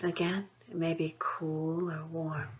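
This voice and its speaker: A woman leading a guided mindfulness meditation, speaking in a soothing, unhurried way with long pauses between phrases. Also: low voice, flat delivery. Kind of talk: guided meditation, gentle instruction.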